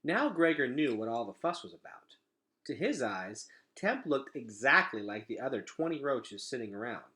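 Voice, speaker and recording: A man reading a children's storybook aloud as an expressive narrator. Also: medium pitch, moderate pace. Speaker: read-aloud reader.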